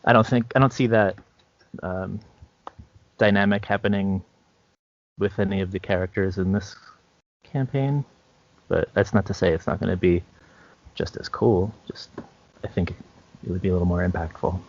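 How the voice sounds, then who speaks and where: A man co-hosting a podcast, chatting casually in loose, hesitant phrases with many pauses. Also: low voice, no room sound. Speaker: podcast co-host. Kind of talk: casual chat. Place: podcast recording. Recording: dull lo-fi, very dry sound.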